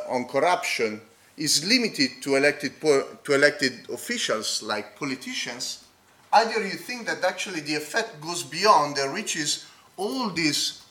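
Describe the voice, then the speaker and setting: A man with a foreign accent presents a research paper to a conference audience. His voice sits fairly high for a man. He stumbles through fillers and restarts and keeps checking in with the listeners. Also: pushed and bright. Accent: non-native accent. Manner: halting, frequent fillers and restarts; talks straight to the audience with checking "okay?" tags. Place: conference hall.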